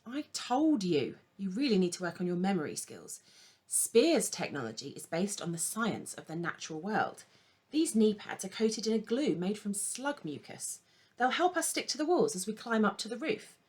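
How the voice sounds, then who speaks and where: A woman voicing an uppity little male elephant shrew, brisk, impatient and officious, in a children's storybook. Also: medium pitch, very animated, darkish tone, slightly rough. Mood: impatient, bossy.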